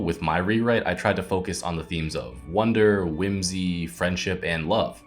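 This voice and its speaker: A man speaking as a children's book author, giving a thoughtful, explanatory scripted talk. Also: low voice.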